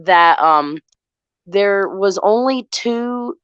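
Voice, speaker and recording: A woman's voice: medium pitch, expressive, extremely slow, fairly bright tone, clean tone, no echo, dull audio. A woman in her thirties from the Boston area, raised in the South, with a mild Southern accent, chatting casually and laughing often as a podcast host. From Boston area, raised in Tennessee. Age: 30s. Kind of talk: casual group chat over the internet.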